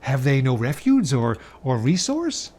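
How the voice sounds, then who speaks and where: A man's voice: mid-range voice, very animated, a little slow, a little mellow. A man voicing a humbled, fearful older man in a storybook reading, asking questions hesitantly. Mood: appalled.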